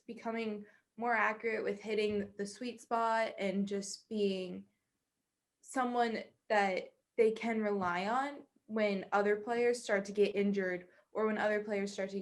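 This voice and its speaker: A young woman co-hosting a casual sports podcast, talking loosely with many "like"s and "just"s. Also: mid-range voice. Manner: casual, filler-heavy "like" and "just". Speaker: podcast co-host.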